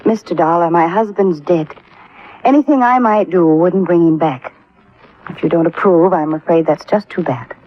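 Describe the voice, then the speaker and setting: A woman's voice: fairly low pitch, normal speed, lightly acted. Woman acting a cool, unsentimental radio-drama widow. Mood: defiant, irritated. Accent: American. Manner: composed, evasive, coolly curt. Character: cool, unsentimental young-sounding widow, defiant under questioning. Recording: muffled vintage radio recording.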